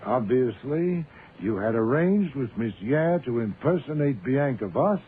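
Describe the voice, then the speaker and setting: A man's voice: medium pitch, expressive, lightly acted. Tinny old-radio pompous detective played by a man. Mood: smugly deductive. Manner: pompous, erudite, deliberate, theatrical phrasing. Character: pompous, shrewd, imperious middle-aged male private detective. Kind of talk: radio mystery drama acting. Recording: narrow, tinny, noisy old-time radio recording.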